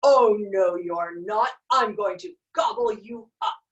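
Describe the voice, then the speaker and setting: A woman voicing a mean, grumpy old troll who yells from under a bridge in a storybook tale. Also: slow pace, far from the mic, lo-fi sound, close to an everyday voice. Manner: yelling, booming.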